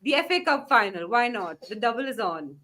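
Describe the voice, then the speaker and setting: A woman's voice: fairly high pitch, very animated, fairly quick, pushed and bright. A woman from the tropics co-hosting a football fan livestream, speaking in an enthusiastic, emphatic casual way. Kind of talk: casual chat.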